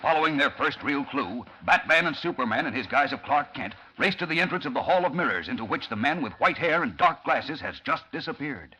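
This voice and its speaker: Forceful, suspenseful old-time radio narrator, male. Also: mid-range voice, animated, brisk, few pauses, breathy edge, faint music behind, poor audio. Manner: suspenseful, emphatic. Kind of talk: dramatic scripted 1940s radio narration. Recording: vintage radio drama recording.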